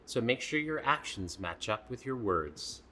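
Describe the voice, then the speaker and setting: A man's voice: medium pitch, expressive, measured pace, pushed and bright. A man co-hosting a children's storytime, reading aloud emphatically and addressing young listeners directly.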